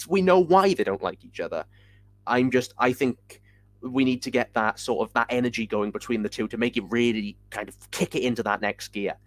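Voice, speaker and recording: A man's voice: mid-range voice, dry recording. A man co-hosting a podcast who previews an upcoming show with enthusiasm, hedging his points with "I think.". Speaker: podcast co-host. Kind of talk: casual podcast chat.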